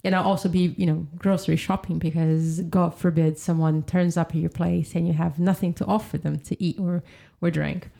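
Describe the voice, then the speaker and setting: A woman from Brazil, a podcast guest chatting casually, laughing often and filling pauses with "um". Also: fairly low pitch, flat delivery, gravelly, dark tone. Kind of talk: casual chat. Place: home in London.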